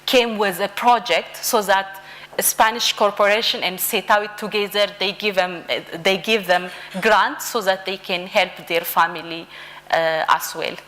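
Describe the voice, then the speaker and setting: A woman's voice: mid-range voice, steady tone, a little slow, a bit bright. A woman speaking at a conference about her project, hesitant, with many fillers and restarts. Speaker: project representative. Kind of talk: conference speech.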